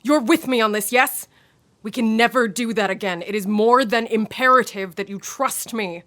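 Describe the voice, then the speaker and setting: A woman playing a haunted, secretive and gravely urgent intellectual with formal, theatrical diction in a radio horror drama. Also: on the high side, normal speed, fairly bright tone, lightly acted. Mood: grave, commanding.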